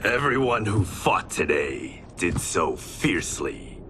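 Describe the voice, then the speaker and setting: A man's voice: low-pitched, very animated, slow pace, noisy background, exaggerated voice. An actor playing a grave, deferential henchman to a villain lord in a video game. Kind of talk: video game cutscene acting.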